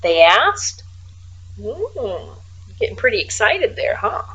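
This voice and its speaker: A woman reading a children's storybook aloud like a teacher, expressively, with casual, hushed asides. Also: fairly high pitch, very animated, slow, highs cut off, a little hiss or hum.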